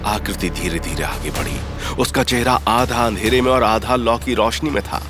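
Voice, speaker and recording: A man's voice: lowish voice, moderate pace, over music. A man narrating a scripted audio story in a suspenseful, theatrical style.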